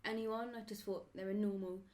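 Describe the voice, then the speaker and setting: A woman's voice: medium pitch, steady tone, a little fast, a little mellow. An eighteen-year-old woman in a group talk, explaining things earnestly with casual fillers. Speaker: group member, guest. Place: meeting room.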